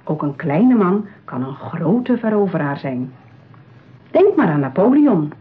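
An actress playing a smooth, patronising saleswoman in a radio play, with polished, persuasive patter. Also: lowish voice, very animated, a little slow. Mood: reassuring, persuasive. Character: smooth, patronising, eager saleswoman at a matchmaking agency. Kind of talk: radio drama acting. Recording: tinny, narrow-band old radio play.

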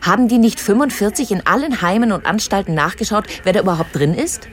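A woman's voice: mid-range voice, animated, quick pace, slight background noise, lightly acted. An actress playing a tired young woman in a radio drama, probing family secrets in an insistent, sarcastic, natural way. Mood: indignant.

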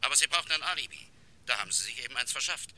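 An assured state prosecutor, with a very sharp, bright voice and quick, animated delivery. He sounds official and insistent, as in a classic crime radio play. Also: mid-range voice. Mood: assured, pressing. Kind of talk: radio crime drama acting.

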